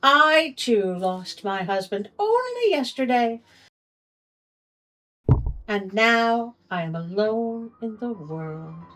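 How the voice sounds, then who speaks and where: Gracious, sweet-spoken storybook widow, played by a woman: dramatic, drawn-out, slightly high. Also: very animated, fairly bright tone, heavily acted, low-quality recording. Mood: sympathetic.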